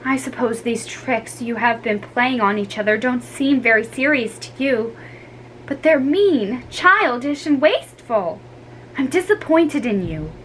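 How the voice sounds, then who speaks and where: A woman voicing a stern, disappointed mother who scolds in measured words, in a storybook reading. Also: fairly high pitch, expressive, fairly bright tone, a little hiss or hum, pitched above the performer's own voice, rough recording.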